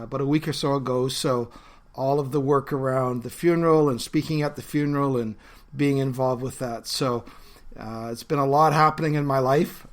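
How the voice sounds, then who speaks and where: A man hosting his own podcast as a pastor, talking in an earnest, conversational way with frequent fillers, his voice lowered by a bad cold. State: has a bad cold, voice lower than normal. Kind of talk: reflective solo podcast talk.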